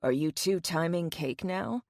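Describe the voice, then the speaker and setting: A woman plays a composed, well-off man in a romance novel, dryly teasing. Her pitch is lowered for him, and the sound is close with little room. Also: animated, a little slow, a little mellow, no room sound. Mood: gently teasing.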